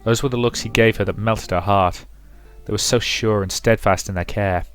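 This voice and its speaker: A man's voice: lowish voice, steady tone, moderate pace, clean tone. Man hosting a playthrough, music behind. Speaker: let's play host. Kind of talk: casual commentary and dramatic reading of a visual novel.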